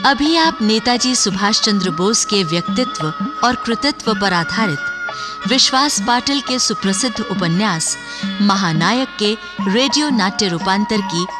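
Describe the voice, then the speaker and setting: A woman doing scripted narration and announcements for a radio drama. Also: medium pitch.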